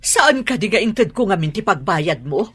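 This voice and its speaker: A middle-aged Ilocano mother in a radio drama. She is worried and quick to scold. Her voice is husky and swings widely in pitch. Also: big pitch swings.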